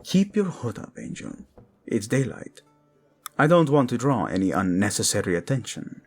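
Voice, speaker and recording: An actor playing a protective, lovesick king in a romantic audio drama, speaking tenderly and haltingly with a Spanish accent. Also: lowish voice, very animated, husky, soft background music, full character voice. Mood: playful, tender. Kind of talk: intimate romantic audio drama acting addressed to listener.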